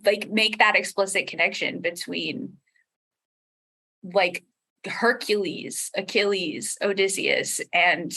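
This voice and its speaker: A woman's voice: lowish voice, bright, forceful tone. A young woman chatting casually as a panel guest, explaining her points like a teacher.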